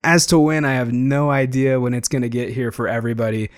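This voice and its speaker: A young man hosting his own podcast, talking casually and eagerly with a slightly hoarse, tired voice. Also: mid-range voice, level tone, a little fast, no room sound, clean studio sound. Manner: chatty, enthusiastic, frequent fillers. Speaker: podcast host. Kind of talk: casual solo podcast monologue.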